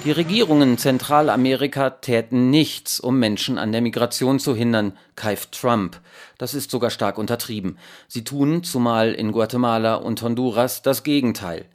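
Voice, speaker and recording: A male radio reporter narrates a scripted news feature. His voice sits at a medium pitch, and he reads in a measured, emphatic manner, as in a prepared report.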